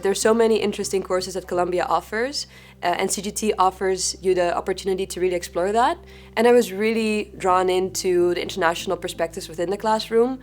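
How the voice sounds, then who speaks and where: A young woman from the Netherlands in her twenties, a graduate student speaking in a thoughtful, measured way in an interview testimonial. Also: medium pitch, steady tone, soft background music.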